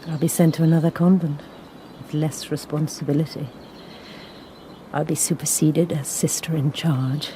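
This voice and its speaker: An actress playing a proud, weary nun in charge of a mission, with a clipped, restrained manner, in a radio drama. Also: female voice, low voice, flat delivery, moderate pace, noisy background, lightly acted.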